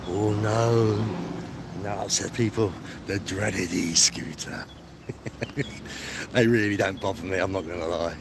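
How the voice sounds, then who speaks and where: A man's voice: sits low, fairly even intonation, unhurried, pauses often. Chatty man, noisy street. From England. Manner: chatty, rambling, matey, self-deprecating. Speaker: livestreaming travel vlogger. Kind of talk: casual live-stream chat. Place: outdoors on streets, walking. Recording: clear outdoor street noise, traffic.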